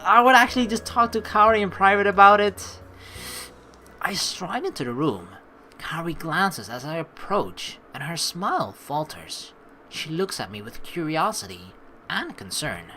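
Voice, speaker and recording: A young woman doing a let's play, switching between rambling casual commentary and expressive read-aloud narration of a game. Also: fairly low pitch, a little slow, low-quality recording. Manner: rambling, colloquial, playfully expressive. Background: faint game music.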